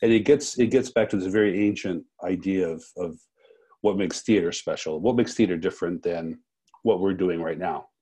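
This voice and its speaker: A man interviewing in a conversational, thoughtful and halting way, often repeating words as he forms a question. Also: sits low, mellow, slightly rough. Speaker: interviewer, festival co-director.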